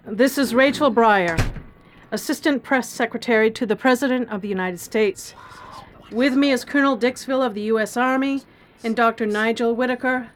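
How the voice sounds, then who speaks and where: A woman acts a government press secretary in an audio drama. She is composed, brisk and official, with a fairly high voice and formal, factual delivery. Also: slight background noise, natural voice.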